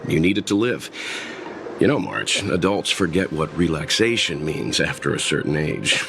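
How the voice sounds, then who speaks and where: An actor playing a calm, weary, worldly-wise adult adventurer in a video game, measured, polite and wry. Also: male voice, rumbling low, vocal fry, noisy background, exaggerated voice. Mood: wry, resigned.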